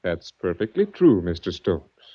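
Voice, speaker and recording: Courteous menacing villain, hugely swooping intonation, slightly husky, 1940s radio drama, muffled old recording. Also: male voice, low-pitched, sing-song, a little slow, heavily acted. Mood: mock courtesy. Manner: mockingly courteous, taunting, articulate. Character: smooth, smug, menacing villain framing his captive. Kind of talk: 1940s radio mystery acting.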